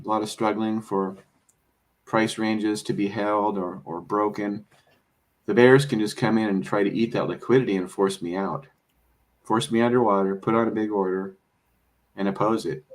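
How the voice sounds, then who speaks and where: A man talking casually about trading, rambling and explaining with many fillers and restarts, a bit hoarse as he is losing his voice. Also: lowish voice, steady tone, normal speed. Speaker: trader. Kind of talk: casual conversation.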